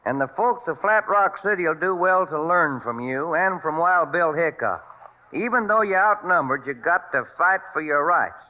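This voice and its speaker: A man plays an earnest small-town sheriff in a radio western. He is folksy and plainspoken, with a slightly high voice. The old recording sounds thin as a phone line. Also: animated, normal speed, natural voice. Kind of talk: 1950s western radio drama acting.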